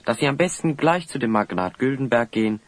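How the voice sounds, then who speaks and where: An actor playing a confident, courteous adventurer hero in a German audio drama, polite and assured. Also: male voice, mid-range voice, animated, fairly quick, no echo, lightly acted. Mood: reassuring.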